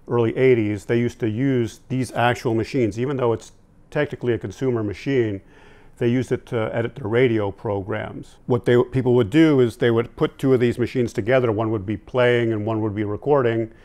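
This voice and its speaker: A man in his sixties with a lowish, creaky voice gives an informal, unscripted demonstration. He talks conversationally, pausing and doubling back on his phrases as he explains. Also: a little mellow. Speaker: audio-visual technician and product reviewer presenting vintage tape gear. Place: indoor room at home, seated at a table.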